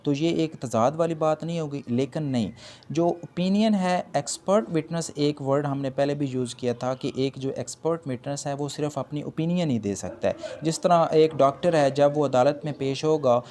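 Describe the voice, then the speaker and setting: Mellow-voiced Pakistani man lecturing on law. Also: on the high side, moderate pace, a little hiss or hum. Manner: didactic, repeats points in simpler words; mixes English legal terms into speech. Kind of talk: point-by-point explanatory lecture.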